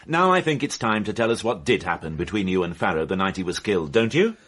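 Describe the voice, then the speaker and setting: An actor playing a stern, shrewd police inspector in a radio mystery, commanding and probing. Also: male voice, fairly low pitch, very animated, fast talker, fairly bright tone. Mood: probing, brisk. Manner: commanding, probing, clipped threats. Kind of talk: radio mystery drama acting.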